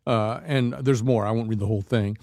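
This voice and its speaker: A man's voice: lowish voice, steady tone, brisk, a little mellow, no echo, studio quality. A man hosting a call-in radio show, talking casually with many fillers and restarts. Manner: rambling, frequent fillers and restarts. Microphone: studio microphone.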